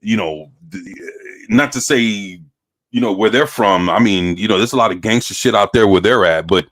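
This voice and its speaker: A man with a low-pitched American voice, chatting loosely in street slang. He swears freely and often doubles back on his words, repeating phrases as he goes. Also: a bit bright. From United States. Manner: streetwise slangy speech, peppered with profanity; halting, repetitive phrasing. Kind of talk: casual chat.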